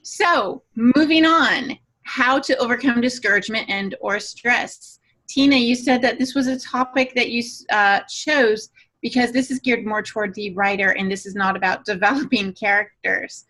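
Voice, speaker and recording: A woman with a high, very bright voice hosts a podcast. She talks in a chatty, informal way and likes to tease her co-hosts playfully. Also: steady tone, normal speed, low-quality recording. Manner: playful, teasing banter. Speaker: podcast host and moderator.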